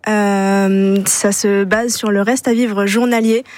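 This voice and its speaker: A woman's voice: mid-range voice, fairly even intonation, slow pace, darkish tone, no echo. Hesitant young woman, radio guest. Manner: hesitant, frequent filler sounds. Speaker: student charity vice-president. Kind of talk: radio interview answers.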